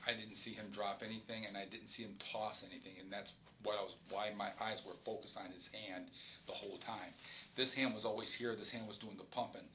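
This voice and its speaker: A middle-aged man answers questions in a formal interview, firm and insistent. His voice sits a little low and has a bright, forceful edge. The room is noisy behind him. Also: fairly even intonation, brisk. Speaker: police officer being interviewed.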